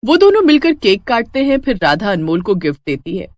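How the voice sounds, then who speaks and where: A woman's voice: medium pitch, very animated, brisk, no room sound, clean studio sound. A woman reading scripted moral-story narration as a storyteller.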